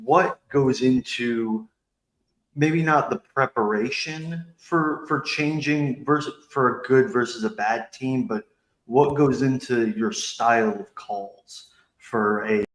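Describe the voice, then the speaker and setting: A man's voice: mid-range voice, slow pace. A man hosting a podcast, putting casual interview questions to a guest. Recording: roomy, echoing sound.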